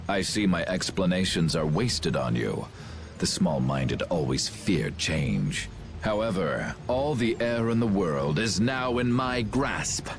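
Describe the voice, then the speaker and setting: A deep, pompous villain commander. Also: male voice, sing-song, takes it slowly, music in the background, exaggerated voice. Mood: cold, imperious. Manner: pompous, formal, theatrical. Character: grandiose male villain commander, cold would-be ruler. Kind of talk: video game cutscene acting.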